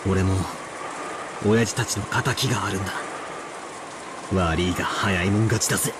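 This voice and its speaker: An actor playing a cocky, rough-spoken young man set on revenge in an anime visual novel. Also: deep voice, fast talker, background noise, lightly acted. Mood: grim resolve.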